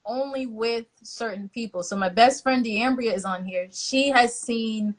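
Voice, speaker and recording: A woman hosting a casual live-stream chat, telling animated stories and acting out quotes. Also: on the high side, unhurried. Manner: lively storyteller who acts out quotes. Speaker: host, church social media helper. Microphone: live-stream call.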